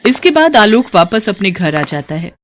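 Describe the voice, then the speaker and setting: A female storyteller reads a scripted storybook tale. Her voice sits at an average pitch for a woman. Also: sing-song, moderate pace, slight background noise. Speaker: story narrator.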